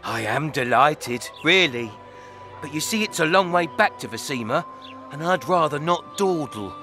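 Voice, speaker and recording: An actor playing a smug, menacing bounty hunter in a video game, with a mocking, theatrical delivery. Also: male voice, a little high, animated, moderate pace, over music, lightly acted. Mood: mocking, gloating. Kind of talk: video game character acting.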